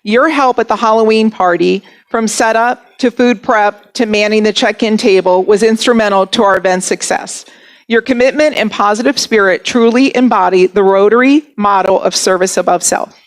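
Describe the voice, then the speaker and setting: A woman's voice: mid-range voice, moderate pace. A woman emceeing a club lunch meeting with casual, chatty announcements and a self-deprecating manner. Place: banquet room at a lunch meeting.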